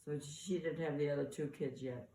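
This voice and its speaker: An older woman, a mother casually sharing family memories in a halting, conversational way. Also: low-pitched, fairly even intonation, dark tone. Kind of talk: casual oral-history reminiscence. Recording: echoey, distant mic, faint room noise.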